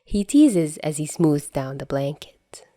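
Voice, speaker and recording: A woman narrates a story at an unhurried, slow pace. Her voice is mellow and a bit low, recorded close and dry. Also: big pitch swings, dry recording. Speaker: podfic narrator.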